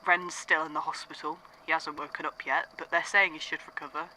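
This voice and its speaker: An actor playing a shaken young man giving a subdued, halting statement in a fan audio drama. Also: on the high side, little pitch movement, bright, forceful tone, slight background noise, poor audio. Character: shaken young man recounting theatre disaster. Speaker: statement giver.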